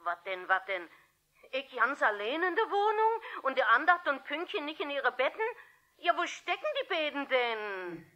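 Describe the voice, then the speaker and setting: An actress playing a plucky, gruff, plump household cook, blunt and folksy, in a 1960s German radio play. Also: female voice, high voice, sing-song, moderate pace, sharp tone, heavily acted. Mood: flustered. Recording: dull vintage radio play sound.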